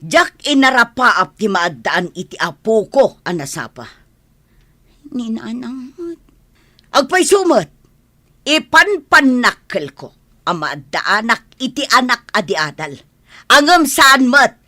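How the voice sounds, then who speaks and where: Emotional, strong-willed woman played by an actress for audio melodrama. Fairly high, forceful voice, plaintive and reproachful. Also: very animated, takes it slowly, no echo, full character voice. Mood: angry, hurt. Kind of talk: melodramatic audio drama dialogue.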